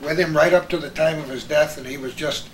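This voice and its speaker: A man's voice: a little high, fairly even intonation, brisk. An older man hosting a casual chat of reminiscences among friends. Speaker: host of a reminiscence show. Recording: roomy, distant, faint background noise.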